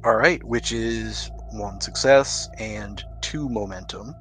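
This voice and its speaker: A man acting as game master, narrating and explaining rules in a measured, explanatory way. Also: lowish voice, a bit bright, soft background music.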